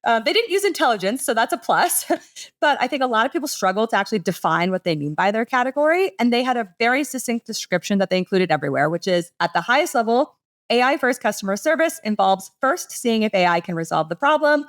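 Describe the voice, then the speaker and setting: A woman's voice: on the high side, sing-song, fast. A woman giving a conversational business presentation as a podcast panelist, explaining things with enthusiasm in casual phrasing.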